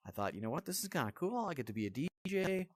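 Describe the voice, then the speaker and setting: A man's voice: on the high side, very animated, fast talker, slightly rough, no room sound. A man giving a casual, off-the-cuff game review as the channel's host, in a blunt, grumbling, exasperated manner.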